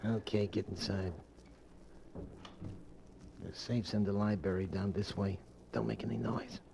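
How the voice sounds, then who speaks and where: Pushy American crook, old radio. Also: male voice, fairly low pitch, steady tone, unhurried, vocal fry, background noise. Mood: hushed, impatient. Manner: tough slangy gangster talk, insistent. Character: pushy streetwise small-time crook, male, bullying and cocky. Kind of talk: 1940s American radio thriller acting. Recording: old 1940s radio recording, music behind.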